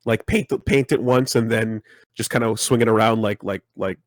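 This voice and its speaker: A man with a low voice hosts a loose, casual conversation. He rambles and halts, stacking up fillers as he talks. The recording is dry and close-miked. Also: no echo. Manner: rambling, halting, many fillers. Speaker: podcast host. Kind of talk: casual chat.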